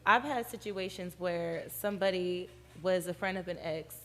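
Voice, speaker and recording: Soft-voiced woman with hall reverb. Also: lowish voice, normal speed. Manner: hesitant, with fillers. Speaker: podcast guest. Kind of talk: casual group chat.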